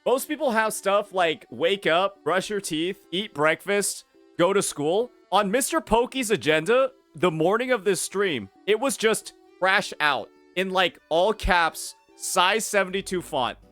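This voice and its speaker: A young man with a high, bright voice picks apart a clip with incredulous, mocking asides. His delivery is casual commentary. Faint music runs beneath. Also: lively intonation, measured pace. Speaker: commentary youtuber. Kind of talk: reaction commentary.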